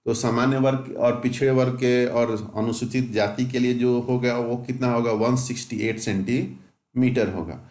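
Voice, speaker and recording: A man's voice: mid-range voice, fairly even intonation, moderate pace, a little mellow. A man with a North Indian accent explaining details in an informal, instructional way, as a coaching teacher. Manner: instructional, often asks a question then answers it. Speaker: exam coaching teacher and channel host. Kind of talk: informal explanatory lesson, reading out details with comments. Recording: echoey, roomy home-made recording.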